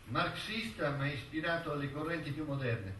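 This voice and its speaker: An actor playing a witty, defiant intellectual on trial, speaking with dry, measured irony in theatrical courtroom dialogue. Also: male voice, a little high, natural voice. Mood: dry, ironic. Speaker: stage actor. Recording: roomy stage sound, distant mic, faint noise.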